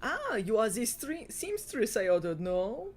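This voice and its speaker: A woman's voice: a little high, very animated, a little slow. A woman voicing a gushing couture guru creature in a put-on French accent, theatrical and effusive. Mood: delighted, coy.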